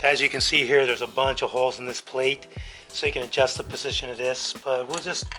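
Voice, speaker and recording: This is a middle-aged man with an American accent and a slightly hoarse voice. He talks viewers through a garage build in a laid-back, colloquial style, at an ordinary mid pitch. Music plays faintly behind him. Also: steady tone, brisk, pushed and bright, rough recording. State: slightly hoarse from being sick. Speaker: host of a motorcycle build series. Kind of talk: casual how-to narration to viewers. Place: home garage workshop.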